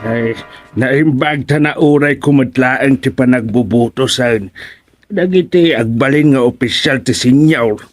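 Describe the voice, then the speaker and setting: A man's voice: medium pitch, unhurried, faint music behind, close to an everyday voice. A man with an Ilocano accent acting a stubborn, ambitious old villager in a radio comedy-drama. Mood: satisfied.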